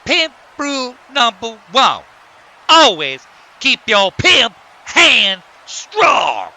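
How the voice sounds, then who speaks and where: A man voicing a cocky, loud-mouthed, excitable pimp wrestler in a cartoon. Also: very high-pitched, very slow, a little hiss or hum, exaggerated voice. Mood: triumphant. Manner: swaggering, excitable, shouty.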